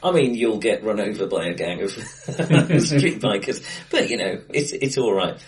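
A man's voice: fairly low pitch, expressive, unhurried, recorded from a distance. A man co-hosting a film podcast in casual chat, hesitant with lots of fillers and laughs. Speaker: podcast co-host. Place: acoustically bright room, recorded face to face. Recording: roomy, off-mic sound.

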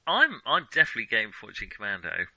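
A man's voice: on the low side, huge pitch swings, fast talker, pushed and bright, no room sound, poor audio. A man co-hosting a podcast, chatting casually about films in a hesitant, rambling way as he thinks aloud. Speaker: podcast co-host. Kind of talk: casual film discussion.

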